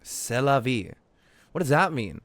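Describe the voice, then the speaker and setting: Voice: mid-range voice, animated, a little slow, dry recording. A streamer giving casual game commentary, joking, slangy and swearing freely.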